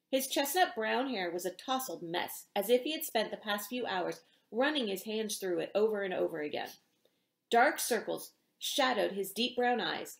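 A middle-aged woman, an author reading aloud in an expressive storytelling style in a small indoor room. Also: medium pitch, vocal fry. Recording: roomy home echo.

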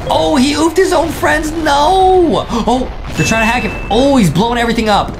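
A young man hosting a video with hyped reaction commentary and banter, excitable, teasing and repeating himself. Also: very high voice, moderate pace, background noise. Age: young adult. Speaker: video host. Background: music.

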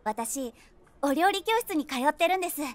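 An eager young woman trying to charm her date in a comic audio drama. Her voice is high and cutesy. She talks politely, keen to draw the other person out. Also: expressive, normal speed, dry recording. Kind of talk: comedy audio drama dialogue.

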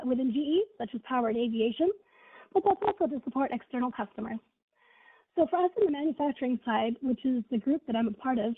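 Husky-voiced woman on a tinny line. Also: sits high. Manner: upbeat, uses many fillers like "you know" and "right". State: scratchy voice from illness. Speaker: webinar presenter, product manager. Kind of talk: presenting slides in a webinar. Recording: tinny phone-line audio.

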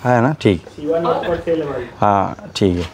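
A man's voice: lowish voice, slow, a little hiss or hum. A man vlogging casually at an outdoor livestock farm, chatting and asking the seller one question after another. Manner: chatty, asks many follow-up questions. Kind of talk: casual on-location vlog chat. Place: outdoor goat farm yard.

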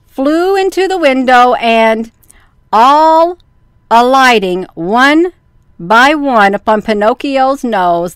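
Middle-aged lady reading a kids story, slow, folksy, easily amused, close dry mic. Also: on the high side, clear, resonant tone, no echo. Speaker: storyteller, host. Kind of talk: reading a children's story aloud, with casual asides. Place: small room indoors. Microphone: large desk microphone up close.

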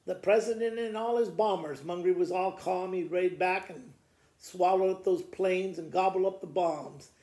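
A man in his sixties with a gravelly voice, reading children's poems aloud like a school principal to students, in a living room with the camera a little way off. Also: high voice, normal speed, dark tone. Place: living room by a fireplace, indoors. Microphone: camera a short distance away. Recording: roomy indoor echo.

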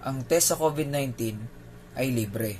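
A man's voice: medium pitch, normal speed, darkish tone, a little hiss or hum. A man with a Filipino accent hosting a video, reading a scripted news explainer. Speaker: video host.

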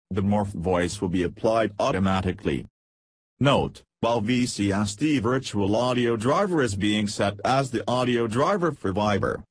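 A man reads a scripted step-by-step software tutorial in a measured, instructional manner. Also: lowish voice, husky, dry recording.

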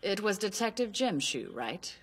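An actress in an anime dub playing a prim, formal mother, overly polite and commanding, with a sharp temper. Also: mid-range voice, sing-song, moderate pace, breathy edge.

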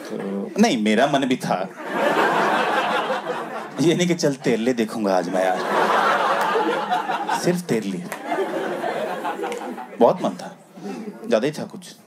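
A young man doing stand-up storytelling on a comedy club stage with a handheld mic. He acts out the scenes, dropping low for asides and jumping high for the punchlines. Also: expressive, takes it slowly, long pauses, over a noisy crowd, very noisy. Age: young adult. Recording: live club audio with loud audience laughter.

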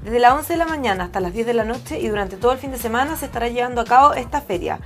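A woman's voice: a little high, animated, racing, faint music behind. Woman reading a news voice-over. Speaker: voice-over narrator.

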